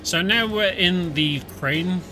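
A man's voice: sits high, slow. British man casually commentating gameplay. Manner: relaxed, many fillers, explanatory. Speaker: streamer. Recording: game music behind.

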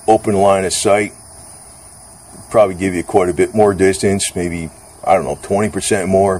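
A man's voice: sits low, darkish tone. A man giving a casual, rambling hands-on review in an informal, slangy way with some mild cursing. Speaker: product reviewer. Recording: clear background noise, outdoor ambience.

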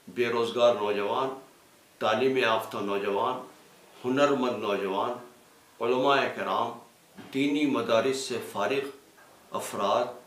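A man makes a formal appeal, speaking deliberately phrase by phrase. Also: mid-range voice, a bit bright. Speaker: representative of a religious organisation. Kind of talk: formal spoken announcement and appeal. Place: small indoor studio with green screen. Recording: echoey room.

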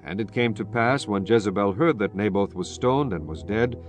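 Low-pitched solemn male Bible narrator. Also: normal speed, soft background music. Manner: solemn, formal reading. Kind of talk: scripted dramatized Bible narration.

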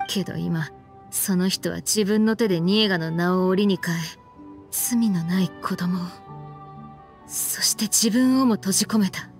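An actress playing a stern, frank young woman in an anime game, speaking in a firm, reproachful, deliberate way. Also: medium pitch, darkish tone, faint music behind, lightly acted. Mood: stern, solemn. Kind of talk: anime game voice acting, dramatic dialogue.

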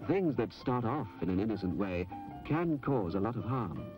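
Low, lively male information film narrator. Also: moderate pace, highs cut off. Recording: old film soundtrack with music. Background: music.

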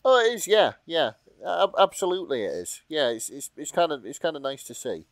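Lively, higher-voiced male podcast co-host. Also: a little fast, dry recording. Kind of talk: casual chat.

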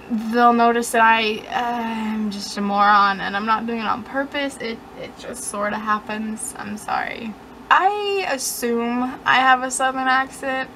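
Casting: young woman, slightly high voice, sarcastic self-deprecating vlogger, webcam in a dim bedroom, faint hiss. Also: fairly bright tone, poor audio. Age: young adult. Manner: rambling, sarcastic and self-mocking. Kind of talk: casual chat to camera.

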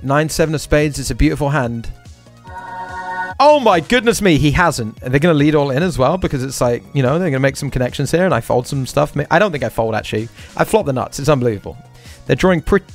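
A man's voice: on the high side, lively intonation, fast talker, soft background music. A man hosting a poker stream, talking through each hand aloud and repeating short catchphrases. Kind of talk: live poker stream commentary.

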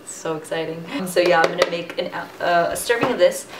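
A woman's voice: fairly low pitch, brisk, heavy background noise. Chatty young woman in an echoey kitchen. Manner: chatty, explaining step by step. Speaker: fitness vlogger. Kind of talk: casual cooking vlog. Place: home kitchen, indoors. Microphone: camera on the counter. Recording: echoey kitchen with music underneath. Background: background music.

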